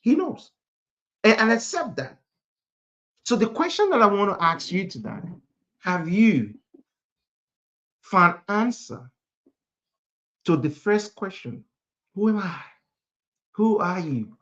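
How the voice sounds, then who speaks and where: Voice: mid-range voice, very animated, takes it slowly, long pauses, fairly bright tone. Emphatic preacher from Africa, dull lo-fi sound. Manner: emphatic, repeats phrases for effect. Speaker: motivational speaker and preacher. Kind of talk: motivational sermon with rhetorical questions.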